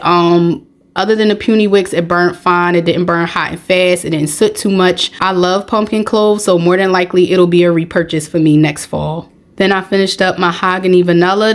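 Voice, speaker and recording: A woman hosting a casual product review vlog, talking in a matter-of-fact way, her voice a little raspy and hoarse from getting over being sick. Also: on the low side. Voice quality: slightly raspy. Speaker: video host, product reviewer.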